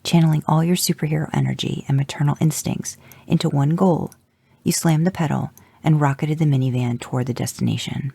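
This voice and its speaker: A podcast host narrating a fanfic story aloud in steady second-person storytelling. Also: mid-range voice, a little fast, creaky, slightly rough.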